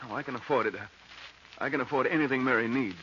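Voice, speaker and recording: An actor playing an anxious, devoted husband in a 1940s radio drama, nervous and hesitant, on a dull, noisy recording. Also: male voice, mid-range voice, quick pace, pushed and bright, close to an everyday voice. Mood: distressed. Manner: nervous, hesitant, plain-spoken.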